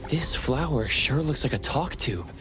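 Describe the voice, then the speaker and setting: A man's voice: mid-range voice, animated, measured pace, lightly acted. A man voicing a curious adventurer in a video game. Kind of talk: video game dialogue acting. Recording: game audio with music. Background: music.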